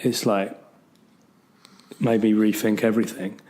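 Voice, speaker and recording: A man's voice: lowish voice, little pitch movement, unhurried, dark tone. A man with a bad cold and a fading voice, chatting loosely as a podcast co-host with lots of ums and restarts. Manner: rambling, full of ums and restarts. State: has a bad cold, losing his voice. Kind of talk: casual chat.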